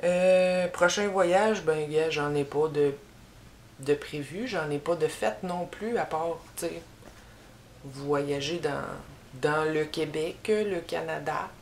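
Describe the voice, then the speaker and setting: A young woman with a broad Québécois French accent talks slowly and casually straight to camera in a small room, her voice sitting slightly low. She rambles and pauses on fillers, and a faint hiss sits under the recording. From Quebec. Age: young adult. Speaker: YouTuber. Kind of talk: casual tag video chat. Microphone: camera in front at head-and-shoulders framing.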